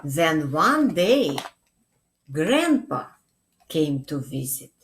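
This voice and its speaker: A middle-aged woman reading a picture book aloud for young children, gently and expressively, pausing to ask questions. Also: deep voice, very animated, slight background noise. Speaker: children's librarian. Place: small indoor room.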